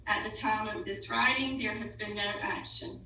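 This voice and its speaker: A woman's voice: medium pitch, fairly even intonation, moderate pace, bright, forceful tone. A woman reading a written statement aloud formally, as an official at a public board meeting. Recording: echoey room, distant mic, faint noise.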